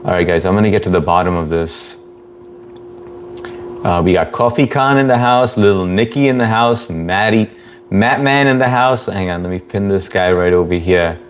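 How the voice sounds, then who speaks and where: Low-voiced guy doing a chill live stream, flat monotone voice, reads chat out loud, little hum in the background. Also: normal speed. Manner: laid-back, reads chat aloud, chuckles often. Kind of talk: casual live stream chat reading comments.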